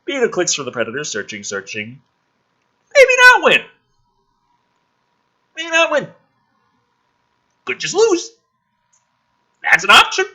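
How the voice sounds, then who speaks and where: Man, very sharp forceful voice, hugely animated pitch, dramatic script reading, sarcastic asides, swearing. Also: slow pace, pauses often. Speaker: host reading a film script aloud with commentary.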